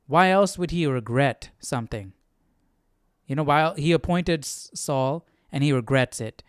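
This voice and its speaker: A man's voice: fairly high pitch, measured pace, smooth, no echo, studio quality. A man hosting a casual Bible study livestream, thinking aloud and often checking for agreement. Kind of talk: casual commentary.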